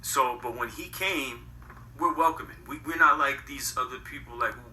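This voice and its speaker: A man's voice: medium pitch, normal speed, bright, forceful tone, roomy sound, recorded from a distance, a little hiss or hum, rough recording. A man in his thirties from Connecticut, telling his story in a rambling, casual way full of slang. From Connecticut, USA. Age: 30s. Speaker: guest. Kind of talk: casual interview.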